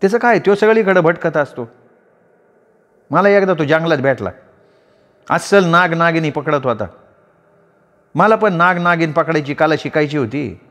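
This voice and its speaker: A man's voice: fairly high pitch, moderate pace, long pauses, a bit bright, voice pushed up, natural voice. A man voicing a bold, cunning young woman who speaks frankly and with assurance.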